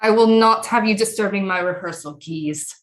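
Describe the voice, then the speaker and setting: A woman's voice: medium pitch, moderate pace, natural voice. A woman performs an older French queen mother for an audio play. She is proud and guarded, with a mid-range voice and formal, imperious, theatrical phrasing. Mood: cold, indignant. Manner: formal, imperious, terse retorts.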